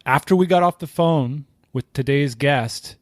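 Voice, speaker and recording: A man's voice: fairly high pitch, unhurried, no echo, clean studio sound. A man hosting a podcast, introducing the show in a conversational way. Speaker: podcast host.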